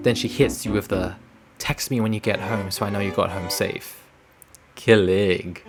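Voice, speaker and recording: A young British man, a native English speaker who mixes in Tagalog, explaining a word in a jokey, animated vlog. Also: fairly low pitch. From United Kingdom. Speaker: advice vlogger. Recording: clear music bed behind voice.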